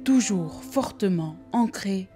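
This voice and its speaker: A woman reporter reading a measured news voice-over. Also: medium pitch, soft background music. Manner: even, measured narration.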